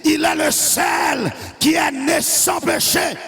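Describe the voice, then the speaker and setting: A man preaching a fiery sermon, shouting in a half-sung cadence. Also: very high-pitched, fairly even intonation, takes it slowly, gravelly, in a large hall, soft background music.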